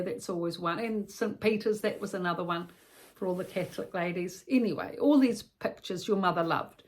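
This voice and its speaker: A woman with a New Zealand accent gives practical advice as informal storytelling, in a conversational, rambling way with repetitions and self-corrections. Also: medium pitch. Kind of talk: informal instructional storytelling addressed to the listener.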